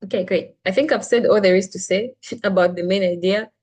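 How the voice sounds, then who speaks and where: A woman's voice: mid-range voice, brisk, darkish tone. A young woman from Zambia teaching a casual reading class, chatty and full of "like" and "right?" as she explains. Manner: chatty, frequent "like" and "right?", restarts sentences. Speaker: SAT reading tutor.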